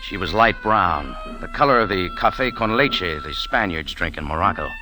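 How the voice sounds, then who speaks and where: A man's voice: sits low, very animated, normal speed. A man playing a wry, tough adventurer with an American accent, narrating his story in dramatic old radio style. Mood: dreamy, ominous. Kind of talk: 1940s-50s hardboiled radio drama narration. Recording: vintage radio, music behind.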